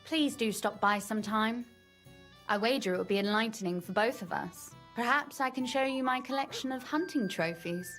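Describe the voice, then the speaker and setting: Young noblewoman, woman acting, game dialogue: learned, self-assured, teasing, slightly haughty, higher-pitched, faint music behind. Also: moderate pace, a bit bright, natural voice. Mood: playful, haughty.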